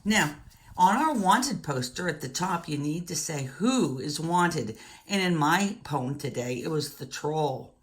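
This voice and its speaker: A woman in her fifties with a low voice, speaking as an elementary teacher. She goes slowly and deliberately, laying out each step for young children. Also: lively intonation. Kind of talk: instructional talk to young children. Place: room at home.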